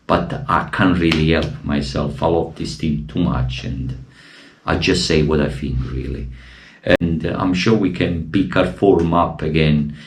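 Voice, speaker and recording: A man from Italy with an Italian accent, giving emotional, impassioned commentary as a football fan vlogger and bursting into shouted appeals, his voice wearing thin. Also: a bass voice, a little slow. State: losing his voice.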